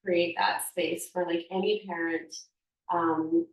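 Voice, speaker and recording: A woman in her thirties speaking in a reflective podcast conversation, soft-spoken and halting as she searches for her words. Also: lowish voice, fairly even intonation, recorded from a distance. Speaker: podcast guest. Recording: echoey room, off-mic.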